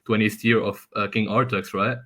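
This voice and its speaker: A man in his twenties with a Lebanese accent, calling in with a question, laid-back and quick to laugh. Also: on the low side, fairly even intonation, sharp tone. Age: 20s. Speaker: caller. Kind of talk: casual call-in question.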